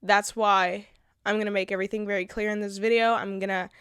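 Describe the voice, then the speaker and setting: A woman's voice: medium pitch, little pitch movement, brisk, a bit bright, clean tone, no room sound, clean studio sound. A woman, congested from a recent illness, hosting her own commentary video and reading from notes in a blunt, earnest way. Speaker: channel host.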